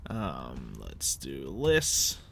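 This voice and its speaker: A man's voice: very deep voice, huge pitch swings, very slow, creaky, noisy background. A man acting as game master, narrating a tabletop roleplay session in a casual, jokey, informal way.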